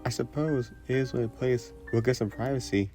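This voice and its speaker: A man playing a polite, gentle young prince in a romantic fantasy audio roleplay, speaking formally and a little hesitantly. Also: medium pitch, normal speed, soft background music, natural voice. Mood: polite, curious. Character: young prince, courteous, gentle, shyly curious royal.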